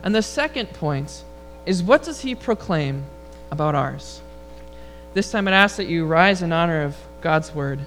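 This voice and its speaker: A man with a high, rather feminine-sounding voice, preaching a relaxed, humorous sermon and leading prayer before a congregation. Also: unhurried, soft background music. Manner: relaxed, storytelling delivery with humor. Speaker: pastor. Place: church sanctuary before a congregation.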